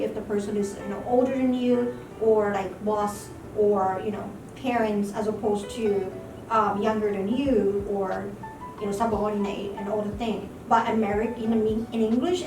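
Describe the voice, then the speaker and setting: A woman whose first language is Japanese, a localizer talking through ideas in an interview with many fillers. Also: medium pitch. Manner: thoughtful, frequent fillers like "you know". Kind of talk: interview conversation. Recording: echoey room, distant mic, music behind.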